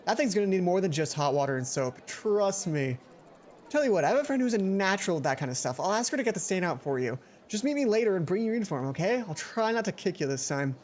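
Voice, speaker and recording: A man's voice: high voice, big pitch swings, fast talker, darkish tone. A young man voicing a friendly, apologetic teenage schoolboy in a visual novel, easygoing and a little teasing. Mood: casual, teasing. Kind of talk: visual novel character reading.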